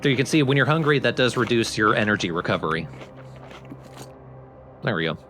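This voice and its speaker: A man's voice: medium pitch, lively intonation, brisk, music in the background. A man giving casual, explanatory commentary on a video game as he plays. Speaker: let's play commentator.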